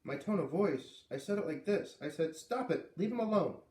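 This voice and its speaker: A man's voice: a little high, animated, moderate pace, darkish tone, reverberant, recorded from a distance, pitched above the performer's own voice, close to an everyday voice, low-quality recording. A man voicing an earnest, indignant young girl from a storybook, insistent and protesting. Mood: defensive.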